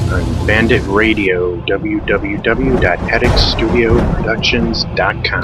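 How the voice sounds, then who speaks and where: A man delivering scripted radio promos as an announcer and host, recorded with sound behind his voice. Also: on the low side. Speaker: voice-over artist and internet radio host. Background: music beds.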